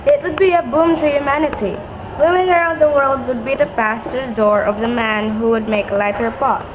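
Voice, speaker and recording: A woman's voice: high voice, normal speed, close to an everyday voice. A woman playing a teasing, caring young woman in an amateur radio play, with a direct way of talking. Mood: playful. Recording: dull lo-fi audio, noisy background.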